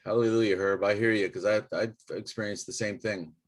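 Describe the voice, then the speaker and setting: A man's voice: fairly low pitch, fairly even intonation, fairly quick. Man moderating, brief encouraging interjections. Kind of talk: moderating a panel on a video call. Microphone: video call.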